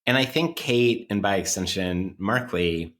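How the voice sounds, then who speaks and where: A man with a low-pitched voice speaks as a podcast co-host. His delivery is conversational but analytical, with academic phrasing and frequent qualifications as he works through an argument. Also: a little slow, a bit bright.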